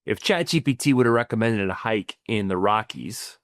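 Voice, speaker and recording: Low-voiced man explaining casually. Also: moderate pace, no room sound, studio quality. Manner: stumbling restarts, frequent tag questions. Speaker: podcast co-host, financial planner.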